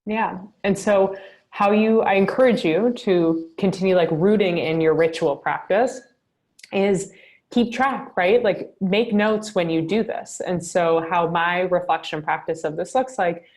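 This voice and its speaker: A woman's voice: fairly low pitch, unhurried, darkish tone. A woman leading a journaling workshop over a video call, upbeat and chatty, often asking "right?". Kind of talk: guided reflection on a video call.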